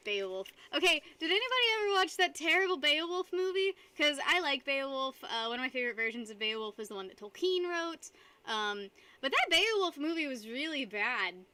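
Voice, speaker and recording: A woman's voice: sits high, big pitch swings, brisk, sharp tone, slight background noise. A young woman streaming live, chatting casually with her viewers and rambling between frequent giggles. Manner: giggly, rambling, reads chat aloud.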